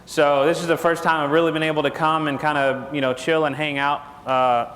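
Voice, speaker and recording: A man's voice: a little high, level tone, a little fast, a bit bright. Folksy Texan man on a hall stage. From Texas. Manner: folksy, jokey, asks the crowd questions. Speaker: conference speaker. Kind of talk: joking seminar talk to an audience. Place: large conference hall stage. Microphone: lapel mic. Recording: echoey hall with long reverb.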